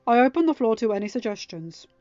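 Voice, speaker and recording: A woman's voice: fairly high pitch, very animated, fast, a little mellow, no room sound, highs cut off. Young storybook king read by a woman, firm, authoritative, formal, over faint music. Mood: stern, firm. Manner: firm, formal, hard-edged. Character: stern, commanding young king chairing a trial.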